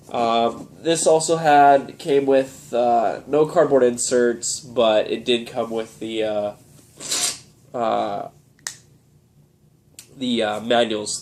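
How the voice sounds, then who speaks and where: A young man with a head cold talks in a stuffed-up, nasal voice. He rambles in a relaxed, easygoing way, padding his sentences with "uh" and "um" as he shows things off. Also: on the low side. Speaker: video game collector vlogger. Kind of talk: casual show-and-tell vlog.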